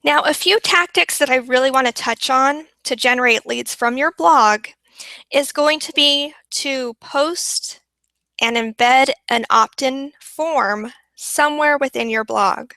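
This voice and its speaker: Upbeat woman teaching a webinar, voice fading from a long week, crisp bright tone, dead-dry sound. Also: sits high, slow, dry recording, poor audio. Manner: upbeat, repeats for emphasis. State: losing her voice. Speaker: webinar presenter.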